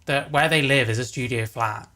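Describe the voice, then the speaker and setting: A man's voice: medium pitch, brisk, pushed and bright. A man giving casual live commentary as a streamer reacting to another stream.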